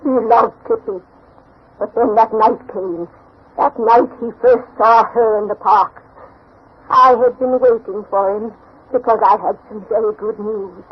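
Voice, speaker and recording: A woman's voice: fairly high pitch, steady tone, a little slow, slightly rough. An actress playing a grieving, fearful elderly grandmother in a dramatic, emotional 1940s radio drama style. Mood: reminiscing. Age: older. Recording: muffled old radio broadcast.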